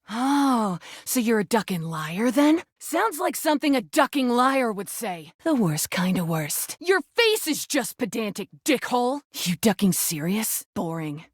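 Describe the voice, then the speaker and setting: A woman's voice: on the high side, slightly rough, dry recording, studio quality, full character voice. A woman voicing a cocky, foul-mouthed rubber duck in a video game, brash, mocking and sarcastic. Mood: irritated, mocking. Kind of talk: video game character voice acting.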